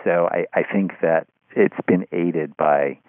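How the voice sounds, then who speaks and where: Male interview guest, deep voice, halting and thoughtful delivery with repeated words, dry phone-quality audio. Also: fairly even intonation, dry recording. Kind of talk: conversational media commentary. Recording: tinny phone-like line.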